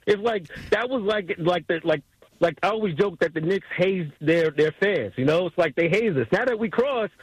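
A man's voice: high voice, animated, moderate pace, slightly rough, no room sound, low-quality recording. A man from Brooklyn with an American accent, chatting casually and animatedly as a sports fan, repeating himself in bursts. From Brooklyn, New York. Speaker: radio producer, guest sports fan. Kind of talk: casual chat.

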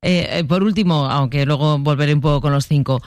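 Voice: medium pitch, fairly quick, a little mellow, no room sound. Curious interviewer. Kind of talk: radio interview.

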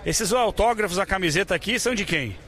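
A man's voice: sits high, lively intonation, fast, fairly bright tone. A Brazilian man working as a radio sports reporter, chatting informally and energetically in slang on a busy street. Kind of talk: lively street interview and live broadcast chat. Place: busy city street, outdoors. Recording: outdoor street noise.

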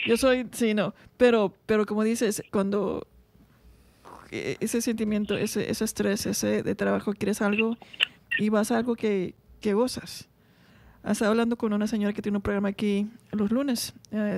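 A woman's voice: mid-range voice, fairly even intonation, normal speed, creaky, a little mellow, no room sound. A woman from Mexico co-hosting a radio chat, chatty and self-deprecating, laughing at herself. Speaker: radio co-host. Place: radio studio.

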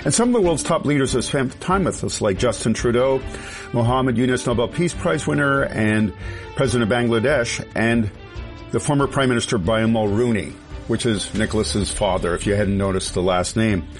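A man's voice: medium pitch, moderate pace, soft background music. A man hosting a radio interview show, asking curious questions with casual asides. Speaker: radio talk show host. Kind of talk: interview conversation.

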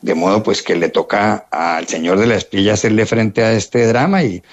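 A Colombian man talks in a fairly low voice, stumbling and repeating words as he explains. His voice comes through a dull, lo-fi phone line. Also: normal speed. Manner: hesitant, repeats words often. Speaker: journalist guest. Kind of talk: radio interview.

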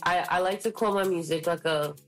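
A woman's voice: on the low side, fairly quick, faint music behind. A young woman from the Caribbean, a singer, talking casually and confidently in an interview, full of "like" and "you know". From Trinidad and the Caribbean islands. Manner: bubbly, heavy use of "like", confident. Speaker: singer guest.

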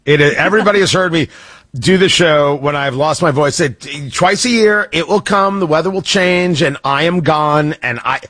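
A man with a slightly high, husky voice, hosting a morning radio show. His pitch jumps around as he teases his co-hosts. The studio sound is dry and close. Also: moderate pace, fairly bright tone, no room sound. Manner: joking, teasing, talkative. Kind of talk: casual morning radio banter.

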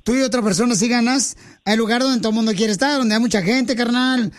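A man's voice: very high-pitched, brisk. A man hosting a rowdy radio show, joking, teasing and laughing with slang. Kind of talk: rowdy morning radio banter.

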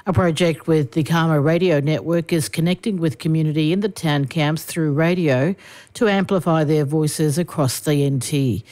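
A woman, a radio news presenter giving a brisk, polished scripted read. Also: sits low, a little mellow.

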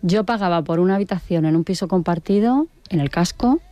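A chatty middle-aged woman from Madrid with a mellow, warm voice, talking as a guest. Her voice sounds close and dry, with no room around it. She laughs easily and picks up speed when excited. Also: on the low side, a little fast, no room sound. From Madrid, Spain. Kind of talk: casual radio chat.

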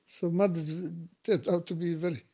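An elderly man with a Palestinian Arabic accent recalls his life in an interview. His voice is mellow and relaxed, with little edge to it. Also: a little high, normal speed. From West Bank. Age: 70s. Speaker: painter being interviewed. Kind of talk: reflective interview recollection. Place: recorded at home.

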